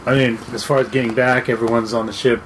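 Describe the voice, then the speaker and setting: A man chatting on a podcast in a deadpan, joking way. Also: medium pitch, fast talker, a little hiss or hum, poor audio. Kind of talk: casual chat.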